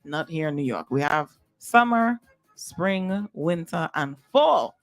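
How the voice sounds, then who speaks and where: A woman's voice: deep voice, lively intonation, slow pace, no echo. A woman from Trinidad with a Trinidadian accent, hosting a radio show in a chatty, casual way and talking straight to her listeners. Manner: chatty, talks to listeners directly with lots of "right?". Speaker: radio DJ host.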